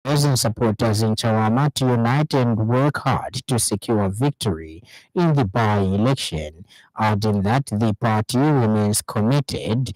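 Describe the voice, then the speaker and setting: A Zambian man presents a scripted radio news bulletin. His voice sits on the low side and his delivery is formal and measured. The sound is dry, with very little room in it. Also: a little mellow, dry recording, low-quality recording.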